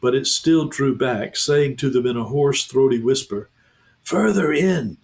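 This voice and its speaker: A man, a pastor, reading a children's novel aloud in a calm, measured way. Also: medium pitch. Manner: calm, measured, slows for tension. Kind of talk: storybook read-aloud.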